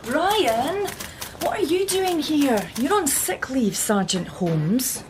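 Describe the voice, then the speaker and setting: An actress playing a playful police detective in a radio drama, talking with teasing familiarity to a colleague. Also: female voice, sits high, very animated, takes it slowly, noisy background, exaggerated voice.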